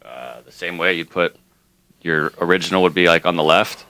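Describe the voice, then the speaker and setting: A man's voice: low-pitched, moderate pace, sharp tone, clear, resonant tone, rough recording. A man working as a podcast producer, explaining things in a casual, offhand style.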